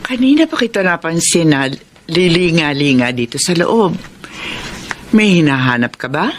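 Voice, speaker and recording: A woman's voice: on the low side, big pitch swings, normal speed, slight background noise. An actress playing a gracious, composed middle-aged stepmother in a Filipino radio drama. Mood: inquiring.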